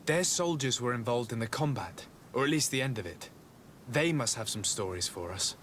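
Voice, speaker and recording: Young aristocratic game envoy, played by a man: formal, determined, mid-pitched male, probing authority, clear outdoor rumble. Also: lively intonation, moderate pace, fairly bright tone, close to an everyday voice. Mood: earnest, resolute. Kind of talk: video game cutscene acting.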